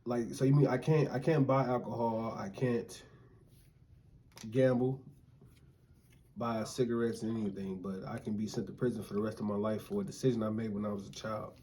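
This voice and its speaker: A man chatting casually with his wife, laying out his view in a relaxed, reasoning way. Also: lowish voice, moderate pace, slight background noise. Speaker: co-host, husband. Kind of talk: casual chat.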